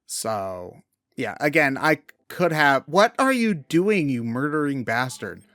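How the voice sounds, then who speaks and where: A man's voice: medium pitch, big pitch swings, takes it slowly, a bit bright, dry recording, clean studio sound. A man giving casual video game commentary, talking in a hesitant, rambling way. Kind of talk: casual gameplay commentary chat.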